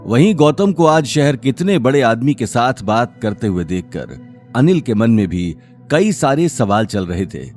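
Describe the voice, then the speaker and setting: A man's voice: mid-range voice, very animated, unhurried, a little mellow, faint music behind. A man narrating an audio drama series as a scripted, dramatic story.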